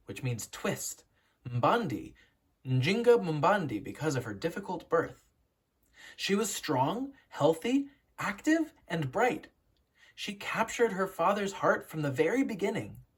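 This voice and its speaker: A woman narrates a children's storybook in a noticeably husky, unpolished voice. Her pitch moves around in a lively way. She tells it like a teacher, with dramatic, deliberate pauses. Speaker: teacher reading a picture book aloud.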